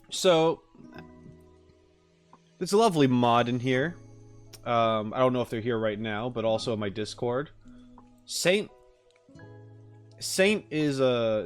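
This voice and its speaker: A man with a mid-range voice chats casually as a live streamer. He rambles in an easygoing way, thinking out loud as he goes. Music plays behind him. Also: mid-range voice. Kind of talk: casual livestream chat. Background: background music.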